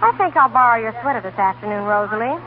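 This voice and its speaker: A woman acts out a needling, bullying schoolgirl in vintage radio style. Her voice is high and swings in pitch, with sly menace. The recording is tinny and clearly noisy. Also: animated, fast, close to an everyday voice. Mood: sly, threatening. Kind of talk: 1930s radio acting.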